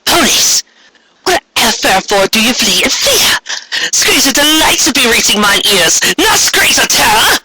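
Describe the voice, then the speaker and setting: A man's voice: very high voice, very animated, unhurried, heavy background noise, pitched above the performer's own voice, full character voice. A man voicing a wrathful, imperious alicorn queen in a storybook reading, with grandiose archaic commands. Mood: booming indignation.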